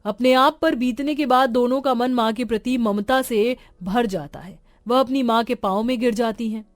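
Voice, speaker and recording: A woman narrates a scripted tale in dramatic storytelling style. Her voice sits fairly high. Music plays softly behind her. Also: moderate pace, dry recording. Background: background music.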